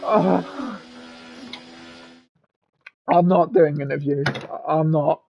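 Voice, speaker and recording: A man who commentates races, his voice hoarse and strained from shouting, rambling and stammering through a casual wrap-up. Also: a little high, extremely slow, a little hiss or hum. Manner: stammering, rambling, restarts often; swears, laughs at himself. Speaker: race commentator. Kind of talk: casual post-race wrap-up. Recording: dull lo-fi mic.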